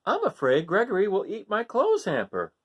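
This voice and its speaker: A caring mother goat from a children's storybook, read by a man. She is gently firm and motherly, with a raised pitch that rises and falls freely. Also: wildly swooping pitch, normal speed, slightly rough, muffled recording, full character voice.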